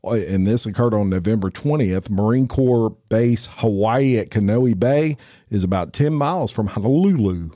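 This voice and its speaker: A man's voice: on the low side, normal speed, mellow, dry recording, studio quality. A man, co-hosting a crime podcast, reading headlines aloud with casual asides. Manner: reads stories aloud with asides to cohosts. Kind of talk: casual news-reading chat.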